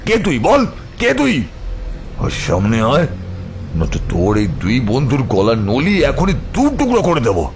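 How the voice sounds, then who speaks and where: A man's voice: medium pitch, wildly swooping pitch, unhurried, darkish tone, a little hiss or hum. Man playing a wildly swinging, bullying gang boss. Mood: furious threat. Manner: roaring, commanding. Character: threatening male local politician and gang boss, bullying. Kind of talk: horror audio drama.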